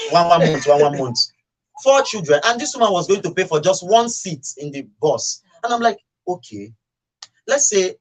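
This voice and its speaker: A Nigerian man with a fairly high-pitched voice talks casually on a radio show. He argues his views in an animated way and slips into other people's words as he tells a story. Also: poor audio. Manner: animated storytelling, acting out quoted remarks. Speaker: radio talk show speaker. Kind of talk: casual opinionated radio discussion.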